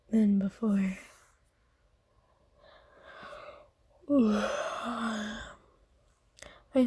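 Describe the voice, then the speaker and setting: An ancient, wealthy vampire acted by a woman murmurs in a dark, mellow whisper for an ASMR roleplay. The delivery is very slow and even in pitch, tender and genteel, like a doting owner with formal manners. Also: dry recording. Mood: calm, genteel. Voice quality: soft, breathy whisper.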